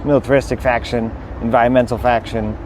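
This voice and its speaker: A man's voice: medium pitch, little pitch movement, fast talker. A Danish-born man with an American accent, chatting as a podcast guest and telling stories with many fillers. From Denmark. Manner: eager, rambling storyteller with many fillers. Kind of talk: casual conversation. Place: outdoors at a busy waterfront pier. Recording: outdoor recording with loud traffic noise. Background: city traffic.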